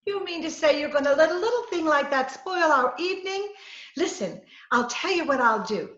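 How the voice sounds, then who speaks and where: A woman's voice: high-pitched, lively intonation, moderate pace, a bit bright. A woman playing a scheming, coaxing woman who poses as a poisoner gangster, with breezy melodramatic patter in a 1940s-style radio comedy. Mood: coaxing, flirtatious. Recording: roomy, slightly dull video-call recording.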